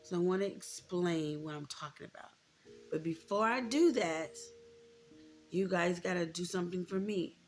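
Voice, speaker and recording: A woman's voice: fairly low pitch, moderate pace, soft background music. An American woman in her thirties talking candidly and informally to her viewers, reflective and repeating points for emphasis. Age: 30s. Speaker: vlogger. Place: indoors, room with a closet.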